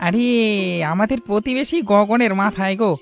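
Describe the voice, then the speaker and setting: A woman's voice: medium pitch, normal speed, soft background music, lightly acted. A woman doing a scheming, boastful village husband. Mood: sly, boastful. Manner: crafty, bragging. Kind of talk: cartoon dialogue.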